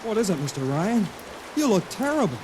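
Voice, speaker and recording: A man's voice: high-pitched, very animated, brisk. A man playing a naive, eager young assistant in a vintage radio drama. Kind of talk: 1950s radio drama acting. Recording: old radio recording with rain and hiss.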